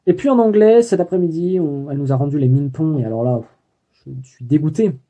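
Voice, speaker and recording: A man's voice: fairly high pitch, a little fast, dark tone, lo-fi sound. A young man recording a daily video diary about his student life, talking hesitantly with many fillers and self-corrections. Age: young adult. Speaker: student vlogger.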